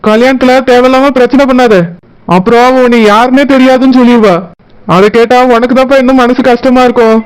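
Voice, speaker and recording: A man voicing a villager in a cartoon, gently soothing and persuading, with a Kanyakumari Tamil accent. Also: very high voice, little pitch movement, fast, smooth, poor audio. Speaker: cartoon voice artist.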